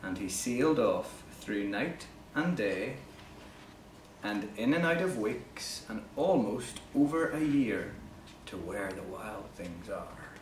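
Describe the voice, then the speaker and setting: A man in his thirties, a teacher reading a picture book aloud to children with dramatic, deliberate pauses and swells. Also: lowish voice, animated. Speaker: primary school teacher. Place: small classroom corner, indoors. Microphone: camera a few metres away. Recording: roomy, distant camera mic, some noise.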